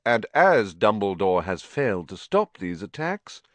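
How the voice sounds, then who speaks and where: A sneering male aristocrat acted by an audiobook reader, oily and polite. He takes his time over every phrase, his pitch sliding up and down with smug menace. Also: very animated, unhurried, fairly bright tone, no room sound. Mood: smooth, threatening. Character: sneering, smooth, lazily menacing aristocratic man. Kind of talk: audiobook character acting.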